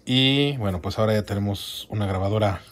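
A man's voice: on the low side, fairly quick. A man hosting a video, explaining in a casual, conversational way as he gives a hands-on demonstration. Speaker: video host and audio gear collector.

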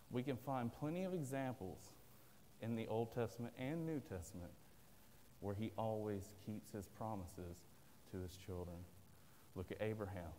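A man's voice: on the low side, darkish tone, slight background noise. A man from the American South with a Southern accent, preaching an earnest, plain-spoken sermon from a pulpit. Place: large church sanctuary indoors. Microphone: at a pulpit, filmed by a far camera. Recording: long church-hall reverb.

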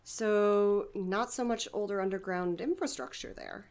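A woman's voice: unhurried, a little mellow, close to an everyday voice. A woman playing a chatty, enthusiastic community center director in an audio drama, talking expansively and persuasively. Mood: eager, persuasive. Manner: expansive, persuasive, eager to pitch ideas.

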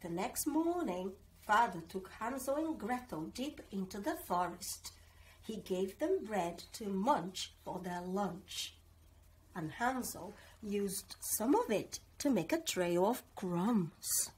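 A middle-aged woman reading a children's story aloud in an expressive, dramatic way. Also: medium pitch, husky, rough recording. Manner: slow, animated, dramatic. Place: living room, on a sofa.

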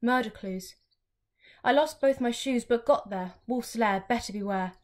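Young woman, high voice, dramatic poetry reading, measured deliberate phrasing. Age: young adult. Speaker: student reciting poetry. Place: small furnished room at home, indoors.

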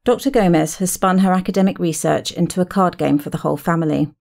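A woman's voice: on the low side, fast talker, creaky, a little mellow. A woman presenting a podcast, with scripted narration and interview questions.

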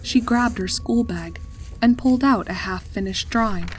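A woman's voice: fairly high pitch, moderate pace, a little hiss or hum, low-quality recording. A woman reading a scripted story as its narrator. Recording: music behind the voice. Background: background music.

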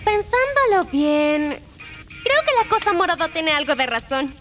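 Woman playing a very high, taunting killer robot. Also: expressive, a little slow, a bit bright, a little hiss or hum, exaggerated voice. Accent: Latin American Spanish. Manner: taunting, sarcastic. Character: mocking, mean female killer robot. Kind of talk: animated fan dub acting.

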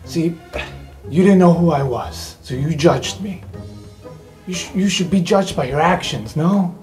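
A man's voice: fairly high pitch, measured pace, a little mellow, faint music behind, close to an everyday voice. A man playing a blunt, protective young male superhero in an improvised tabletop roleplay, hesitant at times. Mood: earnest, reproachful.

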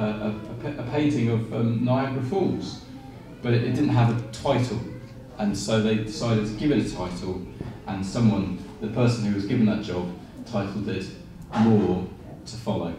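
Guy preaching in a really echoey little room, mic kinda far off, lots of ums. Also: fairly low pitch. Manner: animated, sweeping arm gestures, many fillers and restarts. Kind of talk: sermon. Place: small room with blinds, indoors.

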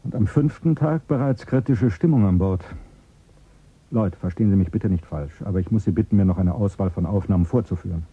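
An actor playing a calculating male politician with a husky voice in a radio play, speaking in a measured, persuasive way. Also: low voice, full character voice. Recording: phone-like thin sound.

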